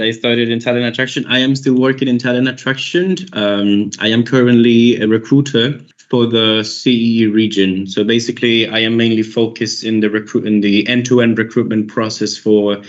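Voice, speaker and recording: A man's voice: medium pitch, steady tone, moderate pace, few pauses. A young man from Costa Rica with a Costa Rican accent, a recruiter chatting casually on a video call, rambling with many restarts. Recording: dull lo-fi call audio.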